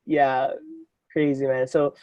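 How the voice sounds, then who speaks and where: A man in his twenties from the Philippines, a podcast guest telling his story in lively casual talk full of fillers and restarts. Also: mid-range voice, slow pace, no echo, highs cut off. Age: 20s. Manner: energetic, many fillers and restarts, laughs often. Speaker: podcast guest, digital marketer. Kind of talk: casual interview chat.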